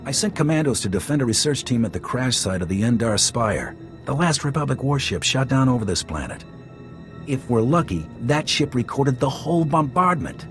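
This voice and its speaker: An actor playing a gruff, plain-spoken army captain in a video game, talking briskly and candidly. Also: male voice, medium pitch, very animated, faint music behind, lightly acted. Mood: grave, determined. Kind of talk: scripted video game dialogue.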